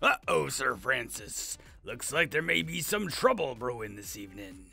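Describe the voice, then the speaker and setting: A man voicing a gruff pirate and his squawking parrot in a comic audio drama. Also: mid-range voice, sing-song, moderate pace, pushed and bright, faint music behind, full character voice. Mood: ominous. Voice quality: gravelly, squawking.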